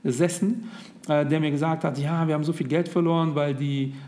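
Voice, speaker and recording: A man in his thirties co-hosting a casual podcast, talking loosely and filling pauses with lots of hesitations. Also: fairly high pitch, fairly even intonation, darkish tone. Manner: chatty, with many hesitations. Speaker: podcast co-host.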